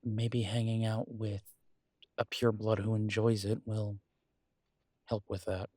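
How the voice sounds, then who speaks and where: A man playing a conflicted, protective werewolf pack leader in an intimate audio roleplay, speaking hesitantly and reflectively with halting pauses. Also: on the low side, level tone, a little mellow, no echo, clean studio sound.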